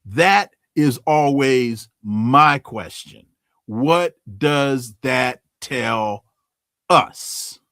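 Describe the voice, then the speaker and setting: A man's voice: medium pitch, lively intonation, drawn-out words, a bit bright, no echo, clean studio sound. A man guesting as a political scientist on talk radio, giving impassioned commentary with frequent restarts.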